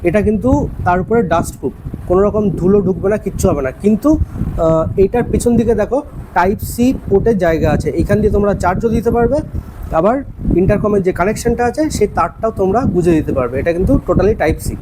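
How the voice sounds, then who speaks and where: A man's voice: sits high, expressive, a little fast, background noise. A man with a West Bengal Bengali accent giving a casual unboxing review, explaining things directly to viewers. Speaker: vlogger and product reviewer.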